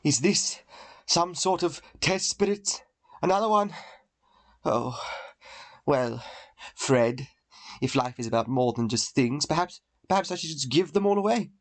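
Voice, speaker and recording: A man's voice: a little high, expressive, unhurried, pauses often. A man playing a bitter, spiteful miser in a radio play, theatrical and full of disdain. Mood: bitter bewilderment.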